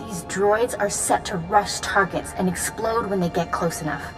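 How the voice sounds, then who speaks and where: An actress playing a grave, resolute Jedi commander in a video game, giving briefings in a serious, measured, authoritative manner. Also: female voice, medium pitch, over music, close to an everyday voice, low-quality recording. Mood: urgent. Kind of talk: video game cutscene acting.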